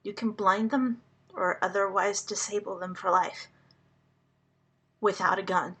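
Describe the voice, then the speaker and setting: A woman whose first language is Hungarian, speaking to the public in a slow, earnest monologue, halting and deliberate with many pauses. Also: medium pitch, steady tone, fairly bright tone, slightly rough. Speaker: vlogger addressing the public.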